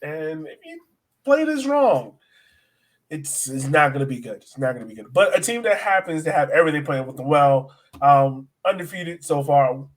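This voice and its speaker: A man's voice: on the high side, expressive, normal speed. A man co-hosting a sports podcast, talking casually about soccer in a slangy, emphatic way and repeating phrases.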